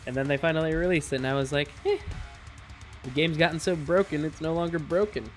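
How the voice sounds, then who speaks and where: A man's voice: on the high side, fast talker, soft background music. A man hosting a reaction video, chatting casually to viewers and swearing freely.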